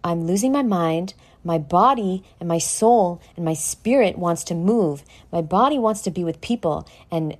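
A woman hosting an informal motivational podcast, brash and sweary, talking straight at the listener with dramatic, drawn-out emphasis. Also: on the low side, a little mellow. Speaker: podcast host. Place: at home in a condo.

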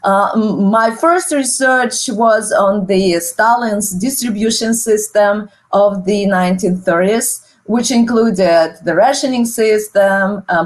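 Middle-aged russian woman prof explaining history in an interview, takes her time, lots of pauses. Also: mid-range voice. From Soviet Union. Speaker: guest, history professor and author.

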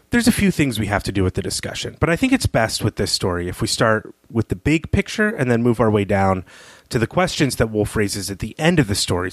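A man co-hosting a literary podcast, speaking in a measured, analytical way. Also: lowish voice, no room sound. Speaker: podcast co-host. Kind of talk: literary discussion, partly reading aloud.

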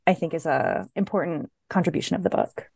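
A woman's voice: sits low, very animated, fairly quick, gravelly, darkish tone, slightly rough, no room sound, studio quality. A woman talking as a guest about a book she co-edited, thoughtful and hedging, with many fillers. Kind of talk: casual academic conversation.

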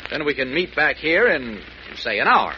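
An actor playing a brisk, friendly, level-headed leader in a 1940s radio serial. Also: male voice, a little high, very animated. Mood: brisk, decisive. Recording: muffled old radio recording, faint noise.

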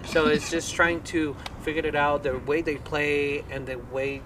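A man with a slightly high voice talks casually as an interviewed musician, swearing and using slang as he tells stories. He sits back from the mic, with clear traffic and outdoor noise around him. His language is Spanish. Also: normal speed, distant mic. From Colombia. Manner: animated storytelling with profanity and slang. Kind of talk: casual chat.

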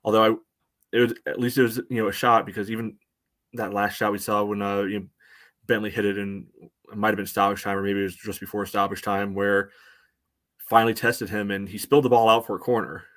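A man co-hosting a soccer podcast, giving casual analysis in a halting way, peppered with "you know". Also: on the low side, level tone, fairly bright tone, dry recording, studio quality. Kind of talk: casual sports analysis chat.

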